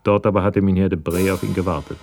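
Low, gravelly male radio-play narrator. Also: fairly even intonation, quick pace, a little mellow, faint music behind. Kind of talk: scripted radio drama narration.

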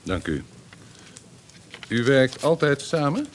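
An actor playing a wealthy, boastful, self-important gentleman in a Dutch radio play. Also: mid-range voice, big pitch swings, slow pace, a little hiss or hum. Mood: formal, appraising. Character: wealthy boastful gentleman showing off his country estate.

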